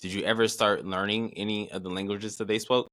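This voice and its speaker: Low-voiced guy hosting a podcast, dry close sound, lots of mm-hmms. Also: level tone, a little fast, a bit bright, no echo. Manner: attentive, short affirming backchannels. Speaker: podcast host. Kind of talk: interview questions.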